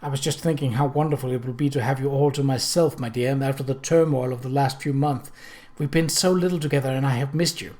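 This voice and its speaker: A man voicing a lord's calm, firm and affectionate wife in an audiobook, with a frank, brisk manner. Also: mid-range voice, lightly acted.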